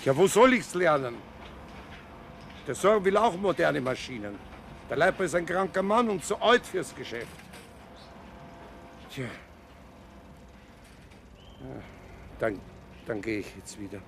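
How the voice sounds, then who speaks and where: A man's voice: fairly high pitch, big pitch swings, measured pace, creaky, pauses often, background noise, heavily acted. An actor playing a humble, hesitant building foreman in a radio play, deferential and trailing off. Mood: hesitant, resigned. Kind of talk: naturalistic radio play acting.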